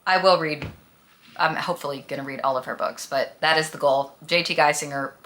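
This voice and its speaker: A woman's voice: deep voice, steady tone, a little fast, a bit bright, a little hiss or hum. A woman talking casually as a book vlogger, with a gushing, emphatic, dramatic and slangy manner. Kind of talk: casual chatty book review vlog.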